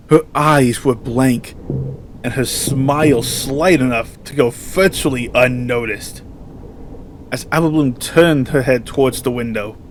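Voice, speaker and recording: A man narrates a horror story with a measured, solemn delivery. His voice sits at a medium pitch, and faint music plays underneath the reading.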